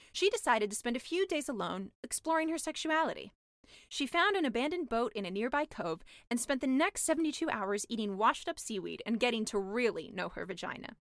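A woman reading a comic fairy tale as a scripted storybook narrator, expressive and mock-dramatic. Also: fairly high pitch, dry recording, clean studio sound. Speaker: author reading own story.

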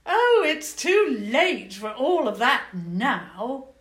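A woman voicing a tall, regal female goblin queen, cold and smug, who speaks slowly and grandly with mockery. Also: a little high, big pitch swings, fairly bright tone, voice pushed up, exaggerated voice. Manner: slow, grand and mocking. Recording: echoey room.

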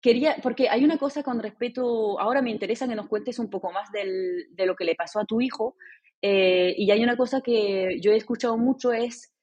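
Husky-voiced woman host, second-language speaker. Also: fairly high pitch, fairly quick, a little mellow. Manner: speaks as a second-language speaker, friendly, hesitant with many fillers. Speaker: podcast host. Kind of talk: casual interview chat.

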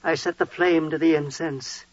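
An actor playing a devout, awestruck priest and father in 1940s radio drama, solemn, halting and declamatory. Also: a little high, steady tone, no echo, lightly acted. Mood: awed recounting. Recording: muffled old 1940s radio recording.

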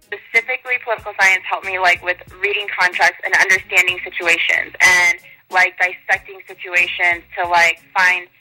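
A woman's voice: on the low side, little pitch movement, normal speed, bright, forceful tone, soft background music, poor audio. A young woman DJ answering interview questions in a chatty, upbeat way with lots of fillers. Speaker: guest DJ.

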